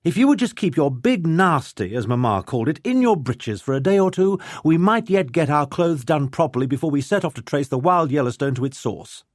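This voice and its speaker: A man narrating a story, putting on the voice of a forceful, sharp-tongued young noblewoman who lectures crisply. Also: fairly high pitch, very animated, fairly quick, few pauses, no room sound, clean studio sound, pitched above the performer's own voice.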